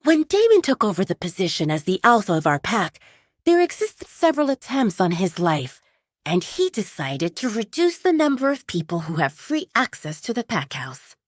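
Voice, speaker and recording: Woman playing a kindly, motherly cook, lively pitch. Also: moderate pace, no echo, clean studio sound. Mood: matter-of-fact. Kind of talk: audio drama character dialogue.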